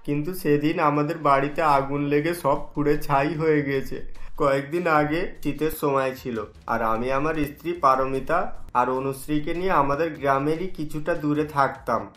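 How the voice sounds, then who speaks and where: A man's voice: on the high side, fairly even intonation, normal speed, fairly bright tone, natural voice. A man voicing a poor middle-aged village husband and father, speaking in an earnest, worried way, recorded in an echoey room.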